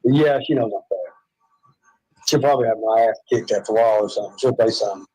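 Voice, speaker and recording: A man's voice: lowish voice, fast, warm tone, breathy edge, poor audio. A man with a Southern US accent, chatting and joking in a rambling, self-mocking way from a hospital room.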